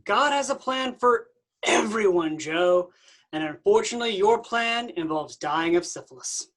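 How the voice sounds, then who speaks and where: A man playing a smug, flippant Jesus with playful, drawn-out teasing in a staged comedy reading. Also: sits high, lively intonation, a bit bright. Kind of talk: staged reading of a comic play.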